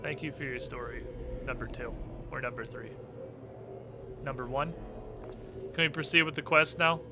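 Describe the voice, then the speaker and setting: A man livestreaming a game with lively, sarcastic, irreverent commentary and plenty of swearing. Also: mid-range voice, normal speed, music in the background. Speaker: livestreamer playing an online role-playing game. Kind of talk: lively gameplay commentary with mock dialogue readings.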